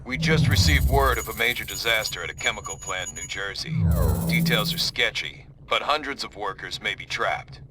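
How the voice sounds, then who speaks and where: An actor playing a serious, businesslike tactician giving a briefing in a video game. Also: male voice, fairly low pitch, expressive, normal speed, close to an everyday voice. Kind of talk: game cutscene acting. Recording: clearly noisy background ambience.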